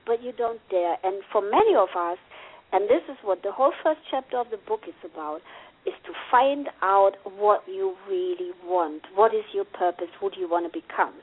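A woman's voice: mid-range voice, breathy edge. German-accented woman on a phone line. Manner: earnest, laughs often, slows down for emphasis. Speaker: author and guest. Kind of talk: casual interview chat. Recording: phone-like radio audio.

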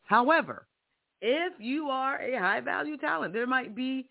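A man's voice: very high-pitched, animated, unhurried, vocal fry. A man with a strong voice hosting a career podcast, giving an emphatic motivational monologue full of rhetorical questions. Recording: tinny phone-like audio.

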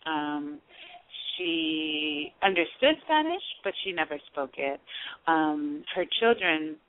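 Woman from Panama, low voice, reflective and measured; dry, tinny phone-line sound. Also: dry recording. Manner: reflective, measured, with frequent um fillers and restarts. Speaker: guest poet and artist. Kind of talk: conversational interview and reading her own prose. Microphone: phone call.

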